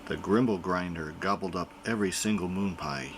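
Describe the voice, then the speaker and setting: A man reading a children's picture book aloud in an expressive storytelling manner. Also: sits low, normal speed, background noise. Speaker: reader.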